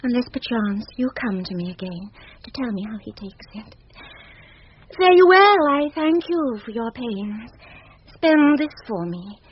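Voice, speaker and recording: A proud young countess, impulsive and lovestruck, her high voice swooping through formal verse. She takes her time, in classic radio drama manner. The old recording is muffled and noisy. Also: sing-song. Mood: haughty, restrained. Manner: theatrical, deliberate, formal verse delivery. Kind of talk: classic Shakespearean radio acting.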